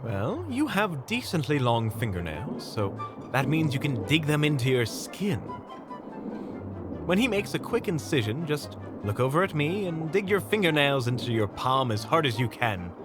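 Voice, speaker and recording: Man playing a wry, lively monster hunter. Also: a little high, normal speed, music in the background. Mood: calm, instructing. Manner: wry, teasing, explanatory. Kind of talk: audio roleplay acting.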